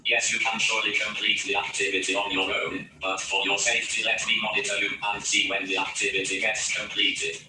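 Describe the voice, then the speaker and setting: A man's voice: lowish voice, little pitch movement, brisk, hardly any pauses, pushed and bright. A synthetic male voice of a robot assistant giving scripted step-by-step instructions. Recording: echoey distant playback with music.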